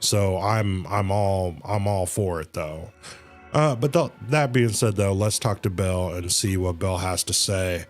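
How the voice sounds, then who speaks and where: A man's voice: deep voice, unhurried. A man giving casual, rambling livestream commentary on a game, often repeating himself. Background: faint game music.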